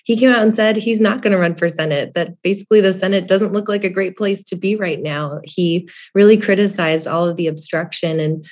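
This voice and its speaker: A woman political reporter giving conversational news analysis, her voice a little raspy from a cold. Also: lowish voice, a little fast, few pauses, a little mellow. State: has a cold.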